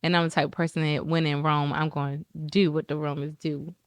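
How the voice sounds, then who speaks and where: A woman in casual podcast conversation, an animated storyteller who acts out what other people said. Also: brisk, no room sound. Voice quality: describes her own talking voice as high-pitched and squeaky.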